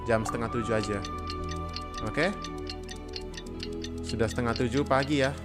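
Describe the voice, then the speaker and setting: A man's voice: mid-range voice, fairly even intonation, normal speed. A man with an Indonesian accent giving casual, slangy live commentary as a game streamer. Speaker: game livestreamer. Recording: loud game music behind. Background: game music and sound.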